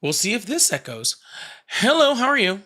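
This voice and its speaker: A man's voice: high-pitched, wildly swooping pitch, moderate pace, pushed and bright. A man in his thirties hosting a live call-in stream, blunt and joking as he challenges callers. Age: 30s. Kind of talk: casual live call-in chat.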